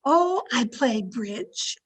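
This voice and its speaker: An actress plays a genteel older aunt who loves to meddle in matters of the heart. Her voice is high and husky, and she coaxes and reassures in the manner of an old-time radio comedy-drama. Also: expressive, very slow, full character voice. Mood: breezy, reassuring. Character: kindly, meddling older aunt playing matchmaker. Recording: video-call radio play recording.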